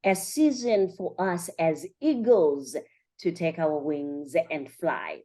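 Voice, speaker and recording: A woman's voice: low voice, lively intonation, slow, warm tone. A woman hosting a podcast interview, putting questions to a guest and responding to the answers. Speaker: podcast host.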